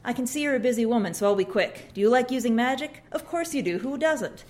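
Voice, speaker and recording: A woman reading a pushy, chipper door-to-door saleswoman with brisk sales patter. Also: on the high side, expressive, a little mellow, natural voice. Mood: salesy.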